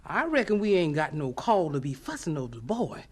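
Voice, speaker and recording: A devout, loving Southern aunt in a radio drama who likes to boss and scold. Her voice is husky, with lively, emphatic swings and folksy phrasing. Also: deep voice, a little fast, heavily acted. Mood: firm, loving.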